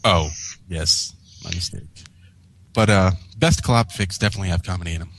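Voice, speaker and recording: A man's voice: low voice, moderate pace, fairly bright tone. A man reading comic fan fiction aloud as co-host of a read-along. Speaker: co-host and story reader.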